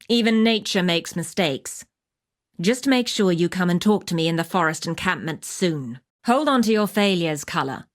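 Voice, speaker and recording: An actress playing a tough, wry wilderness huntress in a video game, speaking in a measured, dry, matter-of-fact way as she hands out hunting quests. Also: medium pitch, natural voice. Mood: approving, purposeful. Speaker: game character voice actor.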